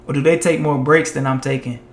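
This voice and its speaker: Man, live-stream host, casual and rambling, voice getting hoarse, fairly high pitch, roomy echo. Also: fairly even intonation, fast talker. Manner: loose and chatty, heavy on "like" and "man". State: voice tiring and going hoarse. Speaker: live-streaming tech content creator.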